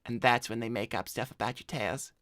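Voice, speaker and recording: A man's voice: mid-range voice, fairly quick, no room sound, studio quality, lightly acted. A man voicing a cocky, laid-back cartoon hedgehog who chats in slang on an improvised livestream. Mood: cocky, bragging. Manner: slangy, swearing, deadpan bragging. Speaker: cartoon character co-hosting an advice livestream. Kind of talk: improvised in-character chat, reading donor messages.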